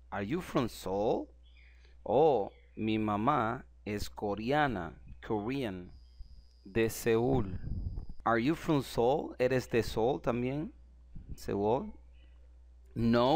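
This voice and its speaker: A man whose first language is Spanish, teaching an English lesson in Spanish and slowly repeating phrases in both languages. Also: medium pitch.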